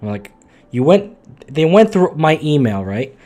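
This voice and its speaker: A man live streaming, chatting casually off the cuff in a halting, muttering, sweary way. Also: fairly high pitch, very animated, warm tone, soft background music.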